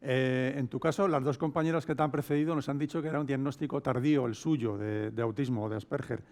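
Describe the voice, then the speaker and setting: A man's voice: medium pitch, steady tone, fast talker, darkish tone. A man from moderating an online event and putting questions to guests. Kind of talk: moderating and interviewing. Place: video call.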